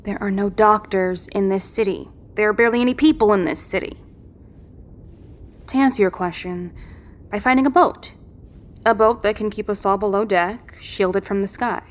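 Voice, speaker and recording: She is a determined woman survivor in an apocalyptic audio drama played by an actress. Her mid-pitched voice stays matter-of-fact and commanding, with short, world-weary phrasing. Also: moderate pace, natural voice. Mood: forceful, insistent.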